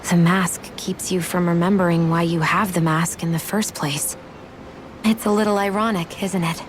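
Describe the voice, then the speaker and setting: A woman acting a guarded young woman with a softening, sincere side in a video game cutscene, speaking in a measured, reflective, earnest way. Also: on the low side, steady tone, slight background noise, natural voice. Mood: wistful.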